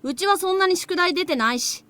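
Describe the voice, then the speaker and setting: A woman voicing a brash, irritable young boy who talks roughly in a Japanese visual novel. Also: very high voice, expressive, rapid-fire, pushed and bright, dry recording, full character voice. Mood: offhand.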